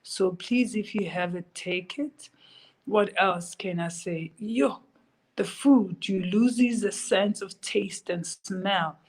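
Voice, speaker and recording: Slow, earnest South African woman. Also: mid-range voice, poor audio. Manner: urgent, pleading advice with repetition. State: recovering from illness, weak. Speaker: guest sharing her recovery story. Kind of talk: earnest personal testimony.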